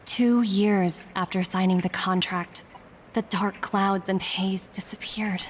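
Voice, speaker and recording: A woman voicing a composed corporate agent in a video game, reading a formal, earnest and persuasive letter in a measured way. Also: medium pitch, fairly even intonation, a little hiss or hum, close to an everyday voice. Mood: measured, solemn. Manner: measured, formal, deliberate phrasing. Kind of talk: scripted game letter monologue. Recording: background music under the voice.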